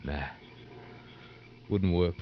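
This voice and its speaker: A terse deputy in old radio drama style. His voice is low and very slow, stubborn and sparing with words. The recording is dull and slightly noisy. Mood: grumbling, reluctant. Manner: laconic, terse. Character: laconic, drawling young deputy, stubborn lover. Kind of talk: 1950s radio drama acting.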